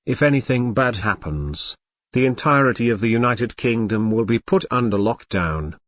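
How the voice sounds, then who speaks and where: A man playing a government emergency alert announcer, reading a formal, impersonal and deliberate broadcast script. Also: mid-range voice, lively intonation, fairly bright tone, no echo, studio quality, lightly acted. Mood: formal, grave.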